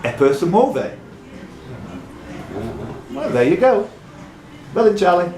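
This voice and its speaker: A man's voice: high-pitched, big pitch swings, takes it slowly. A man hosting a live pub quiz, reading out questions and trading jokey, teasing banter with the contestants. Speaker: quiz host and question reader. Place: echoey pub room. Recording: echoey room with audience noise. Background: crowd of quiz players.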